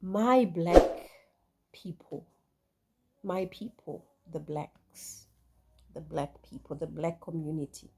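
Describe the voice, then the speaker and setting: A woman's voice: low-pitched, takes it slowly, gravelly, pauses often, noisy background. A Zimbabwean woman vlogger speaking reflectively to her viewers, with many fillers and restarts. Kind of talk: casual talk to camera.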